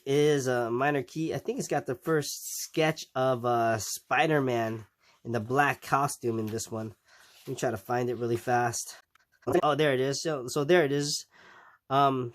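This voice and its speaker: A man's voice: mid-range voice, a bit bright. A man with a nasal voice from a recent cold, casually showing his purchases in a rambling vlog with many ums and restarts. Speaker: comic collecting vlogger. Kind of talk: casual show-and-tell vlog.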